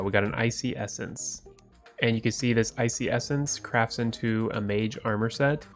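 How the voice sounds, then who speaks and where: A man with a congested, stuffy voice from a cold, giving upbeat let's play commentary on a game and getting excited over loot. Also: medium pitch. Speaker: gaming YouTuber.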